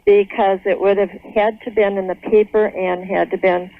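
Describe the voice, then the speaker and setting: A woman's voice: mid-range voice, level tone, normal speed. Female radio caller, American, conversational delivery, thin narrow telephone sound. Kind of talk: conversational phone call. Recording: narrow, tinny phone line.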